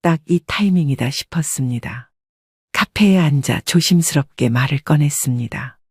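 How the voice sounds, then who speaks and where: An older woman with a husky voice reads a first-person confessional story as scripted narration. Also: low-pitched, normal speed, darkish tone, no echo, studio quality.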